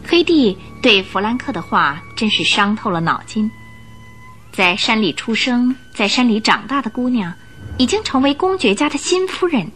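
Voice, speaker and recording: A woman narrating a scripted Chinese radio drama in an expressive storytelling manner. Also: fairly high pitch, normal speed, soft background music.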